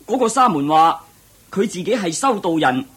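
Man as high-pitched nervous charioteer, husky, deferential, halting, radio drama. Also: lively intonation, a bit bright, full character voice. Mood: earnest, explaining. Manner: hesitant, stammering. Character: timid, deferential male royal charioteer reporting to king. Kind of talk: Buddhist radio drama acting.

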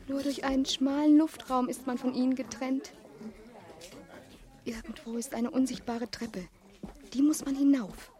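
A woman's voice: high-pitched, fairly even intonation, measured pace, long pauses, dark tone. A woman acting a yearning young woman who voices her inner thoughts in a German radio play. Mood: reviving, dreamy. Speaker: actress in a radio drama.

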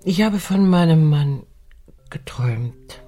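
A woman playing an anxious, dignified widow who leads an expedition in a German radio play, speaking in a hesitant, formal, deliberate way with a husky voice. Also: low-pitched, animated, warm tone, heavily acted. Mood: confiding, hesitant. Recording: music under the voice.

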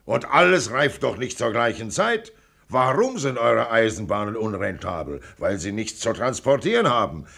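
Radio play: self-assured older millionaire, gravelly and blunt, clipped commands, swinging intonation. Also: male voice, mid-range voice, fairly bright tone, full character voice. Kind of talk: radio drama acting.

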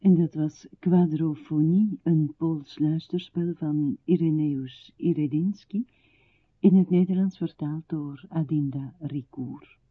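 A woman's voice: low-pitched, fairly even intonation, slow pace, husky, no echo. A woman reading closing credits as a radio announcer in a formal, scripted manner. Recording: dry, phone-like old radio recording.

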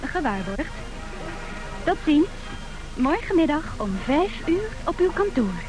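High-voiced headstrong young heiress. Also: female voice, big pitch swings, unhurried, rough recording. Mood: insistent, indignant. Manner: insistent, theatrical, imperious. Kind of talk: old Dutch radio comedy acting. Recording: old radio play, music behind.

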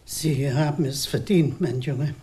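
A woman's voice: low-pitched, unhurried, dark tone, slightly rough, full character voice. An actress playing a motherly, decisive older woman agent in a German radio play. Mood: gentle.

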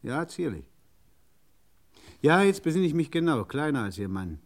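An actor in a German radio crime drama playing a practical, helpful garage mechanic who talks in a halting, reassuring, matter-of-fact way. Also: male voice, a little high, sing-song. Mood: pondering, polite.

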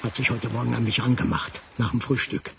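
Gravelly, pompous, gossiping Frenchman. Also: mid-range voice, fairly quick, recorded from a distance, noisy background. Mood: indignant gossip. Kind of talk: German radio play acting.

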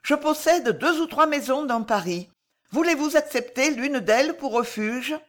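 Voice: high voice, expressive, unhurried, a bit bright, pitched above the performer's own voice. A tormented woman spy, played by a female reader, pleads with humble intensity. Her formal words come out strained and faltering, heavy with guilt, as in a dramatic historical audiobook. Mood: urgent offering.

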